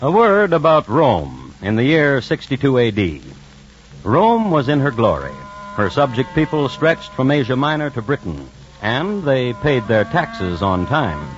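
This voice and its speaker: Dryly wry, animated male radio narrator. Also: mid-range voice. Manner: dry, wry, deliberate. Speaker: narrator of a crime drama. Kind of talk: scripted old-time radio narration. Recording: dull vintage radio recording. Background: music.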